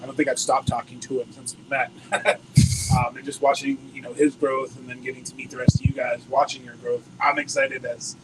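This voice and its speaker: An American man interviewing a band at a bowling alley venue, upbeat and joking, with a loud voice. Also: medium pitch, level tone, fairly quick. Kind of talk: casual interview. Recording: noisy location recording.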